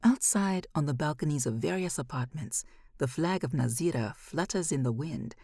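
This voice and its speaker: A woman reading literary fiction as an audiobook narrator, measured, with phrases often falling to a hush. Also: low-pitched, expressive, husky, no room sound, studio quality.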